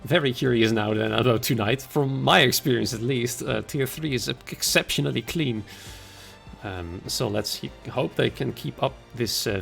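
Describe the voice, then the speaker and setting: Hoarse, raspy man with gravelly fry. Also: mid-range voice. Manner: chatty, conversational, greets viewers by name. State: hoarse after illness. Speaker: race commentator and league host. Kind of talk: sim racing stream commentary.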